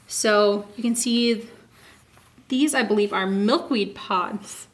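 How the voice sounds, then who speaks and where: A woman hosting a children's story time, reading a picture book aloud expressively and pausing to ask young listeners questions and explain. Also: medium pitch. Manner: asks listeners questions, expressive teaching delivery.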